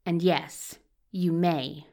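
A woman playing a stern, pedantic male university professor in an audio drama, with a curt and deliberate delivery. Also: fairly low pitch, fairly even intonation, warm tone. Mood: cold, scornful. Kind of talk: audio fiction acting.

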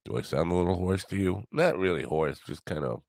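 An older man hosting his own podcast in a rambling solo monologue, grumbly and low, wry and self-mocking. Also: fairly quick, gravelly, a little mellow. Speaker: podcast host.

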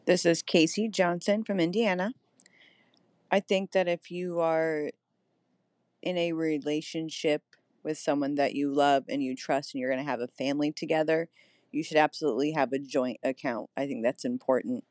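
A woman's voice: low voice, fairly even intonation, moderate pace, darkish tone, no room sound, studio quality. A woman leaving a voicemail opinion, firm and assured. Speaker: voicemail caller.

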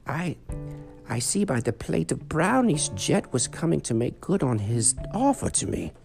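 A man voicing a kindly, fussy old witch woman in a quavering high voice. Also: big pitch swings, a little slow, vocal fry, darkish tone, full character voice. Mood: worried. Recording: guitar music behind.

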